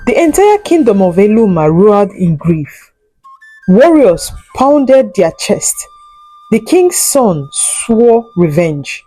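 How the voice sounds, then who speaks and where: A woman narrating a scripted folk tale in a solemn, deliberate and dramatic storytelling manner. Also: medium pitch, very animated, dark tone, faint music behind, low-quality recording.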